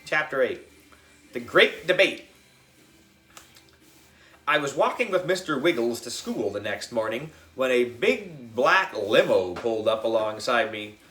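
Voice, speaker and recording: A man reading a children's book as a young boy narrator, expressive, dramatic and playful. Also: medium pitch, unhurried, long pauses, reverberant. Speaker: audiobook reader.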